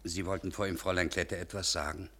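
A man's voice: deep voice, flat delivery, fast talker, bright, forceful tone, heavily acted. An actor playing a dutiful, inquisitive man in a 1950s German radio drama, formal and matter-of-fact. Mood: probing, dry.

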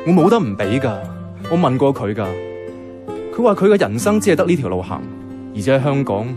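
A man's voice: mid-range voice, sing-song, fairly quick, lightly acted. An actor playing a pragmatic young man in a Hong Kong Cantonese radio drama, hesitant in love, with a dry, incredulous, colloquial way of talking. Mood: frustrated, defeated. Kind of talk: radio drama dialogue with some narration. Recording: music under the voice.

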